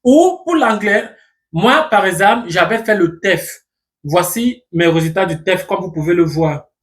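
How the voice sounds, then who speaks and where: High-voiced man explaining steps methodically. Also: expressive, a little slow, fairly bright tone. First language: English. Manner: methodical, counts off numbered points; plain, reassuring advice to viewers. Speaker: immigration explainer. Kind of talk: step-by-step instructional talk.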